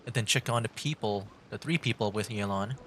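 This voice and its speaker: Bright-voiced casual man streaming games. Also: lowish voice. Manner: casual, halting when reading aloud. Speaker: gaming streamer. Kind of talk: livestream gameplay commentary and reading aloud. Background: game music.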